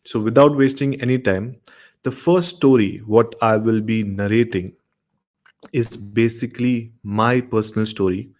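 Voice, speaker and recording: A man's voice: medium pitch, steady tone, measured pace, darkish tone. A man in his thirties from Maharashtra, India, with an Indian accent, hosting and narrating a horror story show. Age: 30s.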